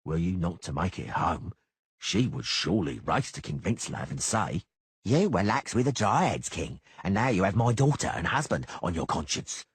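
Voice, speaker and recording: Dude doing a creepy low killer, super slow drawl, hissy, husky. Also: male voice, sing-song, no room sound, exaggerated voice. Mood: menacing drawl. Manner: drawling, hissing.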